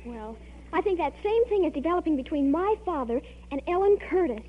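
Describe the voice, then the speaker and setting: A woman's voice: extremely high pitch, expressive, brisk. An actress playing an earnest, anxious, movie-struck teenage girl in an old radio comedy, speaking with breathless melodrama. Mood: grave, secretive. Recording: muffled old radio recording, hum.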